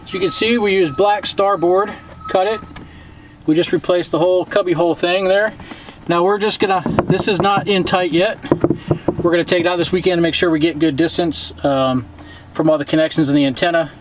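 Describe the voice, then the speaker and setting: A man's voice: sits high, steady tone, a little fast. An American man narrating a casual hands-on how-to in an informal, plain-spoken way, recorded outdoors on a camera mic. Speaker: DIY boat maintenance video host. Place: outdoors, on a boat parked by a driveway. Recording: faint outdoor background noise.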